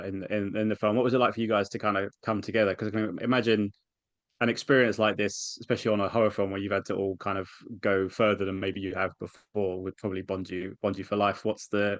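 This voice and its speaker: A man's voice: fairly low pitch, no room sound. A man interviewing an actress, asking long rambling questions with many restarts. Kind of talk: press junket interview.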